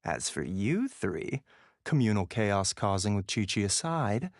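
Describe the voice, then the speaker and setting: Smooth polite pharmacy boss in a game, takes his time, lilting pitch. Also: very animated, a little slow, dry recording, studio quality. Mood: unruffled. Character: suave, unhurried, genial pharmacy owner. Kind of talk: video game voice acting.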